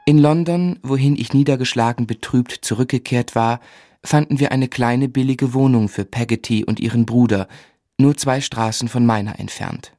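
An actor playing a melancholy gentleman who narrates his own past in a radio drama, measured, literary and reflective. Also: mid-range voice, fairly even intonation, no echo, natural voice. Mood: sorrowful, reflective. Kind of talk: scripted first-person narration in radio drama. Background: music.

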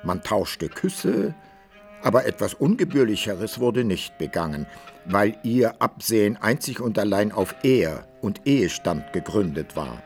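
A man's voice: fairly low pitch, sing-song, unhurried, darkish tone, faint music behind. A man narrating a classic literary tale for a radio play in scripted, old-fashioned prose.

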